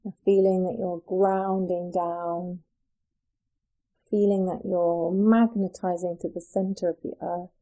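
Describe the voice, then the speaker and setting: Mellow-voiced woman guiding a relaxation. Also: lowish voice, flat delivery. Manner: soothing, gentle, unhurried with pauses. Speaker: yoga teacher, meditation guide. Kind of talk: guided yoga nidra relaxation.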